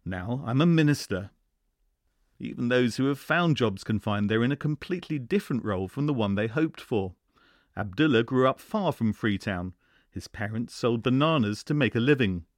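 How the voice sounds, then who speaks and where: A man narrating a scripted radio news report in a measured, even delivery. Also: medium pitch, no echo, studio quality. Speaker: radio correspondent.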